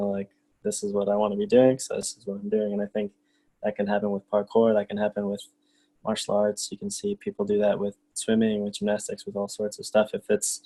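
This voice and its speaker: A man's voice: fairly low pitch, mellow. A man of thirty talking in a laid-back way on a casual group video call, often adding "you know what I mean". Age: 30s. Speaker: parkour coach.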